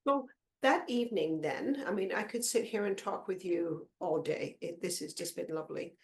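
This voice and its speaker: A woman hosting an interview, warmly effusive with praise. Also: medium pitch, normal speed. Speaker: podcast host.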